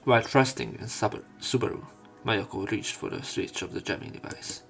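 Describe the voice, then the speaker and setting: A man with a low, gravelly voice narrates a visual novel for a let's play. He stumbles now and then over words as he reads and comments, with faint music playing behind him. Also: brisk. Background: soft game music.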